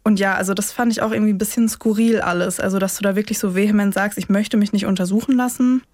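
A woman speaks as a podcast co-host in casual, conversational language. Her voice sits at an average female pitch. The recording is very dry, with no room sound. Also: fairly even intonation, fast talker, darkish tone, dry recording. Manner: colloquial, conversational. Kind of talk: casual true-crime podcast discussion.

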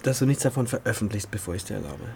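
Careful fortyish prosecutor in intimate radio-play dialogue, lowish pitch, faint background noise. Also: male voice, lively intonation, very fast, a little mellow. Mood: guarded, confiding. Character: cautious, evasive male prosecutor, about forty, with his lover. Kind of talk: naturalistic radio drama dialogue. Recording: clearly audible background ambience noise.

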